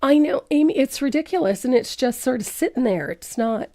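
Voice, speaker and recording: A woman's voice: high voice, expressive, fairly quick, dark tone, dry recording, clean studio sound. A woman with a congested, stuffed-up voice from a cold, leading a painting tutorial in a chatty, rambling way with frequent self-deprecating laughs. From Canada. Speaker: painting instructor.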